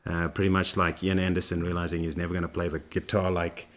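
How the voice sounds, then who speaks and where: A man, a running coach, telling his story in a relaxed interview with lots of fillers and restarts. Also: low-pitched, quick pace. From South Africa. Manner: rambling, many fillers and restarts.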